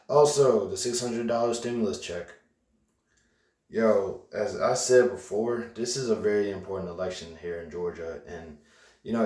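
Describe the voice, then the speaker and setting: Low-voiced young guy rambling in a vlog, lots of ums, sounds far off in an echoey room. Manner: rambling, animated, with frequent fillers and restarts. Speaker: vlogger. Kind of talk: casual vlog rant.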